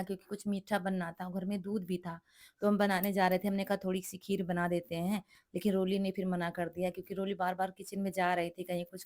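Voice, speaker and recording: Hoarse middle-aged woman narrating cooking. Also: on the low side, a little mellow. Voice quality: cracked, rough voice. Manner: chatty, explains each step as she goes. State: hoarse, sore throat. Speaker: vlogger cooking for guests. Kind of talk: casual cooking vlog narration.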